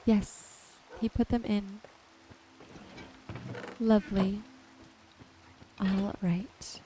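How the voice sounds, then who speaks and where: A woman giving relaxed ASMR-style gameplay commentary, gently thinking aloud without hurry. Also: mid-range voice, extremely slow, a little hiss or hum, poor audio. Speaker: gaming video host.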